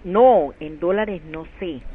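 A woman's voice: lowish voice, slow pace. A woman with a Colombian accent, a shop employee answering a call politely and formally. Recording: muffled phone line with faint noise.

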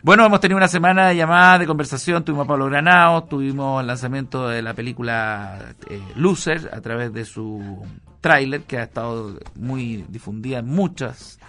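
Slangy Chilean radio host. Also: male voice, mid-range voice, brisk, pushed and bright, soft background music. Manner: crude slang-filled rambling. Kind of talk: casual radio monologue.

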